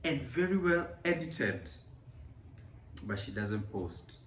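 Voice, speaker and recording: A man's voice: medium pitch, big pitch swings, takes it slowly, echoey room, recorded from a distance, slight background noise. A man co-hosting a casual couple vlog, speaking hesitantly with many fillers and laughs. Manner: hesitant, lots of ums and laughs. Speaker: co-host, husband.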